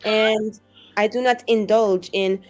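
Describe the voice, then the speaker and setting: A woman's voice: medium pitch, fairly even intonation, slow, darkish tone, soft background music, natural voice. A woman voicing a haughty, spiteful and very confident woman in a tabletop game, with a condescending, mock-innocent manner.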